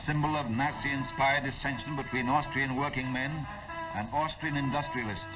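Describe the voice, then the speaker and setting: A man's voice: mid-range voice, steady tone, moderate pace, over loud music, poor audio. An American man narrating a 1940s wartime propaganda film in a solemn, emphatic, declamatory way, on a vintage film soundtrack.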